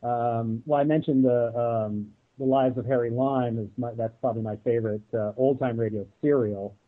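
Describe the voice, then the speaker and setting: A man speaks casually as an interview guest, with a mid-pitched voice. He is halting and reflective, and the sound is narrow like a telephone connection. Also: fairly even intonation. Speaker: guest, audio drama producer. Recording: narrow-band phone-like line.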